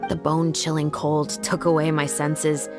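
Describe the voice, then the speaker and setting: A resolute young female patrol officer in a video game. The voice sits fairly low for a woman, measured and earnest, with formal, composed phrasing. Music is clearly audible underneath. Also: completely flat delivery. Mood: somber, reflective. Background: background music.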